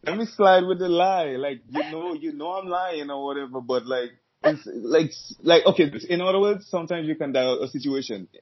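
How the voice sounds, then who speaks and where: A man's voice: a little high, moderate pace, few pauses, husky. A man talking casually as a podcast guest, easygoing and rambling, with fillers and restarts. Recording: dull lo-fi sound.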